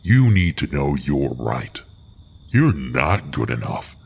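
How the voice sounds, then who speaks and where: An actor playing a man's cruel inner voice of self-doubt, slow and mocking, in an audio drama. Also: very deep voice, big pitch swings, gravelly, slight background noise, exaggerated voice. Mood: taunting.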